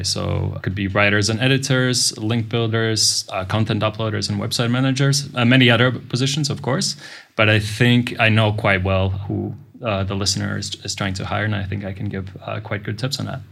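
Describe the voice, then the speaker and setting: A middle-aged man, a podcast guest explaining things in a measured way with frequent pauses. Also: fairly low pitch, creaky, a bit bright. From Latvia. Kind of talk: casual interview talk.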